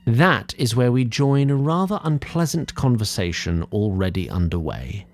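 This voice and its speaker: A man narrating a scripted fantasy audio drama in a formal, deliberate way, addressing the listener. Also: medium pitch, expressive.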